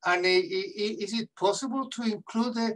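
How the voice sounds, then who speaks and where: Chilean-accented man, halting, set back from the mic. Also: high-pitched, a bit bright, distant mic. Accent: Chilean Spanish. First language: Spanish. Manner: halting, repeats words while searching for them. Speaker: audience questioner. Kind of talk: video-call question. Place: home study with bookshelves. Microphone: laptop webcam mic on video call.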